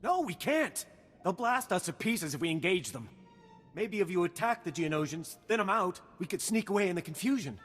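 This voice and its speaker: Anxious, high-pitched militiaman. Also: expressive, brisk, a bit bright, big-room sound, faint music behind. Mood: panicky. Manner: agitated, fearful. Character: anxious, fearful frontier militiaman, defeatist and agitated. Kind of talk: video game voice acting.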